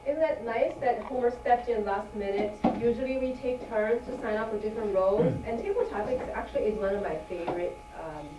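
A woman's voice: a little high, a little fast, hardly any pauses. A woman hosts a club meeting in a chatty, encouraging way. She is recorded from far off in an echoey room. Background noise runs under her voice. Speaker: meeting host, toastmaster of the day. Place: meeting room with long tables. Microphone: far camera.

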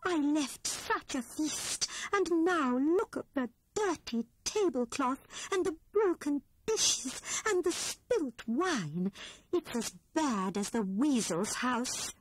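A woman doing a gentle, hushed grey rabbit. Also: high-pitched, animated, slow pace, no room sound, pitched above the performer's own voice, rough recording. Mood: dismayed. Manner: soft, hushed, earnest.

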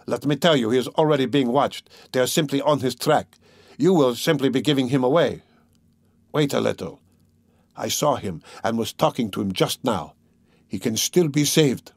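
A man's voice: mid-range voice, moderate pace, dry recording, clean studio sound, pitched above the performer's own voice, lightly acted. Man voicing an obsessive, menacing male tormentor. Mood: urgent persuasion. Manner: emphatic, feverish, insinuating. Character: obsessive, menacing male tormentor, pleading then coldly mocking. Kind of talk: dramatic audiobook character reading.

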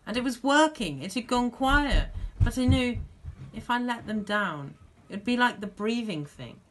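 An acting student playing a frightened, guilt-ridden young child in a dramatic stage monologue, speaking earnestly and naively in halting short sentences. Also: mid-range voice, expressive, a little hiss or hum, close to an everyday voice, poor audio. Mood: straining, desperate.

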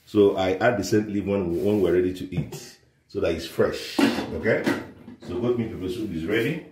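A man's voice: sits low, normal speed, a little mellow. An older man chatting as he cooks in his home kitchen, repeating himself and boasting of his dishes. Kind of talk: casual cooking video. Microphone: phone camera held by another person. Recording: roomy kitchen, mic a bit far.

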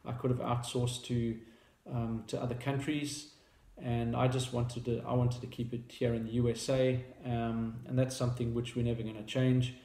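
A man's voice: mid-range voice, little pitch movement, normal speed, dark tone, echoey room. A man in his thirties with a South African accent, a company founder explaining a product in casual talk to camera, hesitant with many fillers and restarts. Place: small indoor room at a table.

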